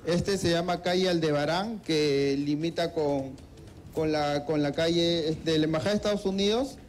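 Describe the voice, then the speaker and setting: A man's voice: a little high, moderate pace, slight background noise. A Peruvian man in his thirties, interviewed outdoors on a street into a handheld mic, speaking hesitantly with fillers. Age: 30s. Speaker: crime victim interviewee. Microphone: reporter's handheld mic.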